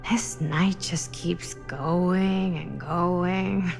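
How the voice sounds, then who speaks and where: A woman's voice: on the low side, extremely slow, creaky, darkish tone, faint music behind, heavily acted. An actress voicing a guarded, skeptical young woman in a naturalistic horror game drama, weary but level-headed. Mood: weary, doubtful.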